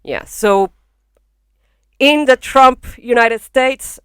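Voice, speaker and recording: Young Venezuelan-accented woman, frank comic guest, crisp close podcast mic. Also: high voice, drawn-out words, dry recording. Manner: frank, swears freely, laughs easily. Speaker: guest comedian. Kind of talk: casual podcast chat. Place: small home studio in a bedroom. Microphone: podcast microphone.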